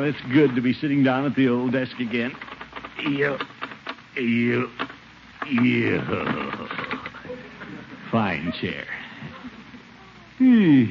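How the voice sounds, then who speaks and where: An actor playing a pompous, fussy, blustering middle-aged uncle in a 1950s radio comedy. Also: male voice, medium pitch, vocal fry. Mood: self-satisfied musing. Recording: muffled, hissy 1950s radio broadcast.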